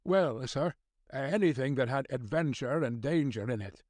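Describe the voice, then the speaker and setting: A man's voice: mid-range voice, animated, normal speed, darkish tone, dry recording, clean studio sound, lightly acted. A man playing an ardent young newspaper reporter in his twenties, polite and earnest, in an audiobook. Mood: earnest, pleading. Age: 20s. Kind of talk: audiobook character dialogue.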